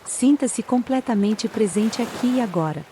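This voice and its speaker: A Brazilian woman narrating a guided meditation in a calm, soothing way with long pauses. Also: a little high, a little hiss or hum. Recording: ocean waves behind the voice. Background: sound of sea waves.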